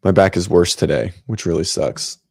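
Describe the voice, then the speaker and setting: Male host, deep and raspy, loose rambling delivery full of fillers, dry home-office mic. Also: moderate pace, darkish tone, no echo. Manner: rambling, many ums and restarts. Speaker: host of a fitness livestream show. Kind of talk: casual livestream chat. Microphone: set-up studio mic.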